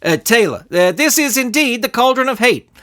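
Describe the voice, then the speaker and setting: A man voicing an awkward, well-meaning children's soccer coach with a halting, flustered way of talking in a storybook. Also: extremely high pitch, animated, fairly bright tone, voice pushed up. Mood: awkward, polite.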